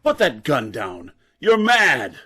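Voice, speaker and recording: A man's voice: mid-range voice, wildly swooping pitch, slow pace, pushed and bright, slightly rough, heavily acted. Man acting proud professor with swooping, emphatic delivery. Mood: alarmed. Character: proud, indignant scientist professor. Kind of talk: old-time radio drama acting.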